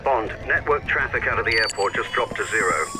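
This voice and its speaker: A man playing a businesslike intelligence officer giving crisp mission instructions in a video game. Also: on the low side, brisk, music in the background, close to an everyday voice. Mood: focused, urgent. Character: businesslike male intelligence officer guiding an agent. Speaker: mission controller.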